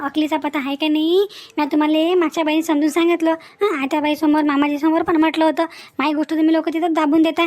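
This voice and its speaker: Cartoon young village wife, played by a woman: very high, fast, scolding and scheming, rural Vidarbha Marathi accent, piles up rhetorical questions. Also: close to an everyday voice. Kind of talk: Marathi cartoon family-drama dialogue.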